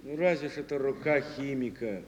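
An actor playing a brisk, bossy chemist who lectures a pupil didactically, drilling him with short questions in a stage drama. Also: male voice, mid-range voice, natural voice. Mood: stern lecturing. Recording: reverberant noisy archive stage recording.

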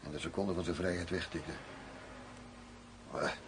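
An actor playing a wry, weary, middle-aged undercover police commissioner in a radio drama, speaking in a measured, dry way. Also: male voice, low voice, steady tone. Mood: weary, reflective. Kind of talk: Dutch radio drama acting. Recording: noisy radio play recording.

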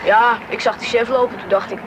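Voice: mid-range voice, fairly even intonation, fairly quick, background noise, lightly acted. An actor playing an eager, self-assured young boy in a radio drama. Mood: pleased. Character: eager, confident young boy on a family train journey.

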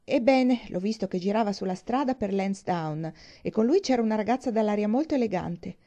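A woman voicing a brash, boastful young gentleman in an audiobook, speaking in a bossy, emphatic way. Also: medium pitch, lively intonation, a little fast, lightly acted. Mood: insistent. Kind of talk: audiobook character dialogue.

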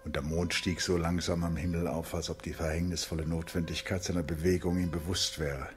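A man giving measured, scripted literary narration for a radio play. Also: deep voice, flat delivery, soft background music.

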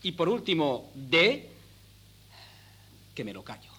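Sharp, high-pitched bookish young snob. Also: male voice, big pitch swings, takes it slowly, slight background noise, heavily acted. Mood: disdainful. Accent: Castilian Spanish. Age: young adult. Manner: pedantic, clipped, enumerating. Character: bookish, disdainful, pedantic young son. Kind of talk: 1970s Spanish radio comedy acting.

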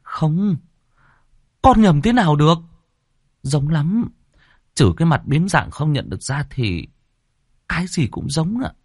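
A panicked young servant boy in a storybook tale, his voice pushed up high and pleading, done by a male narrator. The tone is husky and the sound is close and dry. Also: darkish tone, no echo, heavily acted.

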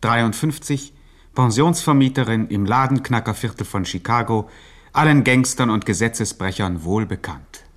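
An actor playing a pedantic co-narrator in a satirical radio play, formal and deadpan. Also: male voice, mid-range voice, normal speed, natural voice. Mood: dry, deadpan.

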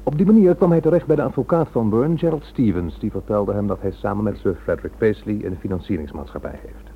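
A man's voice: on the low side, lively intonation, fast, a little hiss or hum. A man playing a self-assured, sardonic and slippery police inspector in a radio drama, formal in manner. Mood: dry, factual. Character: smooth-talking, condescending, slippery male police inspector. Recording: muffled old radio recording.

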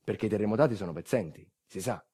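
An actor playing a bitter, resigned earthquake survivor in a theatrical storytelling monologue, sardonic and folksy, with wry asides. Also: male voice, on the low side, fast talker, dark tone, breathy edge. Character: bitter, resigned southern Italian earthquake survivor telling his tale.

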